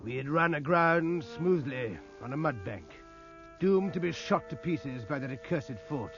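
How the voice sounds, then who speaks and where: A man's voice: on the high side, lively intonation, unhurried, over music. An actor playing a British naval officer who narrates his memories in grave, literary 1950s radio drama style. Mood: tense, foreboding. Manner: literary, grave, precise storytelling. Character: male naval officer recalling battle as narrator. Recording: muffled vintage radio recording.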